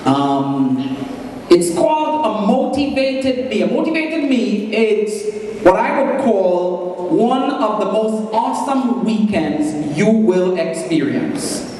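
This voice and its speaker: A man's voice: high-pitched, sing-song, takes it slowly, a little mellow. A man with a Trinidadian accent giving a punchy, joking motivational talk to an audience, repeating phrases for emphasis. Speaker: motivational speaker. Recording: echoey hall from far off, noisy.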